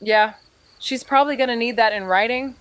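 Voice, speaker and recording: An actress playing a weary, wry amateur investigator in a noir audio drama, with measured, deadpan delivery. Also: female voice, fairly high pitch, natural voice. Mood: skeptical, pressing. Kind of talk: scripted noir audio drama, narration and dialogue.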